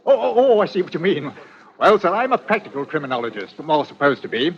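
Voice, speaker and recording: Blustery inspector, lively pitch. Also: male voice, fast. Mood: blustery, dismissive. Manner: blustery, pompous, hearty, hesitating. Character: genial, complacent middle-aged police chief inspector. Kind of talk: old-time radio drama acting. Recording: dull, hissy old radio recording.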